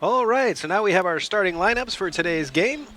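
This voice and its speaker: A man with a hoarse voice from a cold, giving relaxed play-by-play for a soccer match with small restarts along the way. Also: high voice, a little fast, a little hiss or hum. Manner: relaxed, steady, chatty, with small restarts and throat clearing. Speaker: play-by-play commentator. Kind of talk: live sports commentary. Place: outdoor soccer field.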